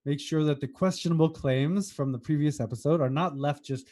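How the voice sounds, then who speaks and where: This is an American man with a soft, mellow tone that stays relaxed and unforced. He chats casually as a podcast co-host, with a wry streak and a habit of teasing. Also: fairly high pitch, fairly quick. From United States. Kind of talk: casual chat.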